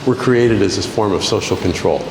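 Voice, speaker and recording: Low-voiced man in an echoey, noisy hall. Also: a little fast. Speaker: interviewer and video host. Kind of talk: casual chat with questions and opinions.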